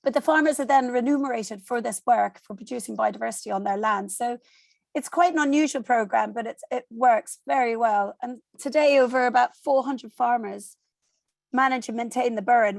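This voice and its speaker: An Irish woman, hoarse from a cold, giving a steady online presentation over a video call and explaining with frequent ums. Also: a little high, normal speed, no room sound. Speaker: guest speaker.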